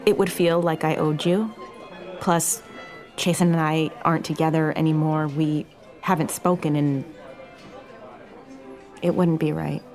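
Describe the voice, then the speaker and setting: An actress playing a proud, hesitant young woman who speaks earnestly and haltingly in a podcast romcom drama. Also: low voice, steady tone, darkish tone, faint music behind.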